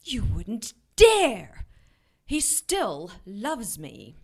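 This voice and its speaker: A woman's voice: mid-range voice, huge pitch swings, drawn-out words, darkish tone, exaggerated voice. A woman playing a haughty, menacing immortal demoness in an audio drama, with an imperious, taunting delivery. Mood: indignant, defiant.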